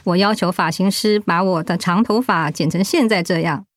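An actress playing a kind, attentive middle-aged housewife talking in a radio drama. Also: female voice, lowish voice, moderate pace, no room sound, clean studio sound, natural voice. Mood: nostalgic. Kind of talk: radio drama acting, conversational.

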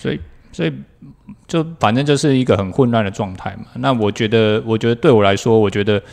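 Casting: middle-aged man, Taiwanese accent, fairly low voice. Plain-spoken podcast co-host who reasons things out in casual talk. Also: fast, vocal fry, a little mellow. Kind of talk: casual conversational discussion.

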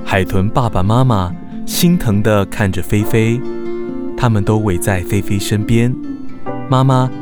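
A man narrating a children's radio drama in measured, expressive storytelling. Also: lowish voice, natural voice. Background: background music.

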